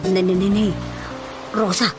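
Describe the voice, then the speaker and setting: A woman's voice: medium pitch, flat delivery, measured pace, lightly acted. A woman acting a gossipy, fussing village churchgoer in a radio drama, with an Ilocano accent and exclamatory delivery. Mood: urgent, reassuring. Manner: exclamatory, with repeated interjections. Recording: radio drama with loud music bed. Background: background music.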